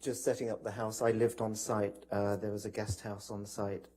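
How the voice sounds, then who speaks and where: A man's voice: fairly low pitch, level tone, moderate pace, dark tone. A man testifying as a witness in court, recounting from memory in polite, hesitant, broken phrases.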